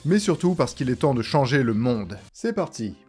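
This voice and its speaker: A man's voice: medium pitch, big pitch swings, normal speed, darkish tone, slightly rough, faint music behind. A man presenting a scripted comedic video essay in a sarcastic, informal way, as a YouTuber.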